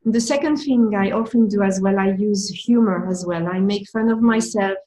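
A woman's voice: medium pitch, moderate pace, mellow, rough recording. A woman with a French accent, answering interview questions as a manager, thoughtful and with frequent restarts.

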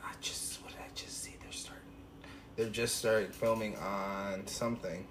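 Low-voiced blunt man, swearing freely. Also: steady tone, normal speed, a bit bright, whispered. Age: 30s. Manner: profane, wry and blunt. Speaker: podcast co-host. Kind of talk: casual chat.

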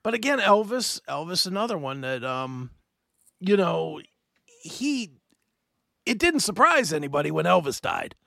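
A man in his fifties hosting a casual podcast chat, rambling and animated with many restarts. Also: high voice, measured pace, creaky, dry recording, clean studio sound. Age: 50s. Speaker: host.

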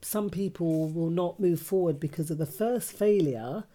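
Low mellow woman chatting on a radio show, laughs a lot. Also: moderate pace. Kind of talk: casual radio chat.

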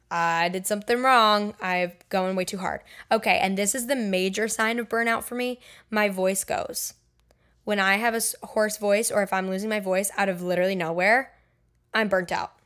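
A young woman talks through a casual solo podcast in a chatty, candid way. Her speech is loose and full of "like" and "um". Her voice sits at an ordinary middle pitch. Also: a bit bright. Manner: chatty, filler-heavy with frequent "like" and "um"; candid and personal. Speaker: podcast host and songwriter.

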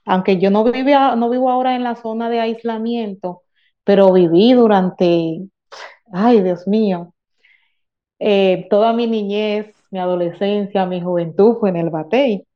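Slow-spoken Dominican woman, dull recording. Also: mid-range voice. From Dominican Republic. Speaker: guest speaker in a group discussion. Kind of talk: unscripted explanation of lived experience.

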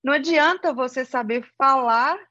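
A woman's voice: high voice, normal speed, pushed and bright, no room sound. A woman co-hosting a podcast interview who asks attentive, reflective questions. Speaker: podcast co-host. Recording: dull dry lo-fi sound.

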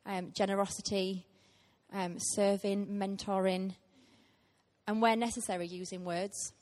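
A woman in her thirties gives a guest sermon in a church. Her voice sits at an ordinary middle pitch, and her delivery is sincere and heartfelt, with plenty of small ums between thoughts. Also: flat delivery, a little slow, a little mellow. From Teesside, England. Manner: earnest, heartfelt, with frequent ums. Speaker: guest preacher.

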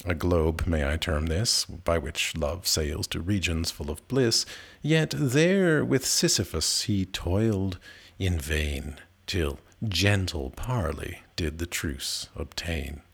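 Male poetry reader, low register, slow measured delivery, literary and dramatic. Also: creaky, husky. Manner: measured, dramatic phrasing with pauses. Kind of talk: expressive literary recitation of narrative verse.

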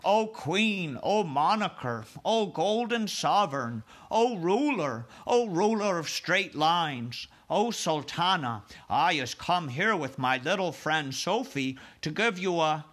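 A man's voice: a little high, big pitch swings, slow pace, few pauses, sharp tone, pitched above the performer's own voice, heavily acted. A man voicing a friendly giant from a children's storybook, effusive and reverent, with muddled words and grammar. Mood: gushing, awed. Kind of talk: children's storybook read aloud.

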